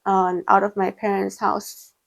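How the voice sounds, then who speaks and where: Measured young woman from Thailand. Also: medium pitch, flat delivery, creaky. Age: young adult. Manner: thoughtful, measured, with soft fillers. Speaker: interview guest. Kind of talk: casual interview answers. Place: indoors in China.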